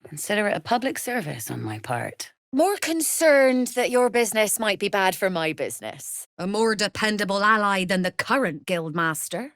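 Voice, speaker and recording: A woman's voice: mid-range voice, very animated, normal speed, hardly any pauses, fairly bright tone, dry recording, clean studio sound. A woman playing a shrewd, mercenary crime boss in a video game, wry and self-assured with cool menace. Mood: wry, businesslike. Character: shrewd, mercenary female Zhentarim smuggler boss, threatening and wry. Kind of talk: video game character voice lines.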